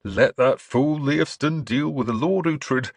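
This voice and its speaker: A man voicing a gentle, earnest scholarly bishop in an audiobook, with mild manners and a preacher's fervour. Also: medium pitch, slow, husky, dry recording, voice pushed up. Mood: amused, candid. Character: gentle, earnest scholarly priest turned bishop, quietly sly.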